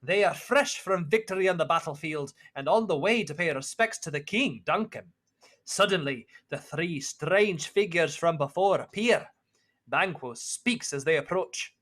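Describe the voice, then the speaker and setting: A narrator telling a story in deliberate, scripted fashion in the style of a 1940s radio play. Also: mid-range voice, animated, fairly bright tone.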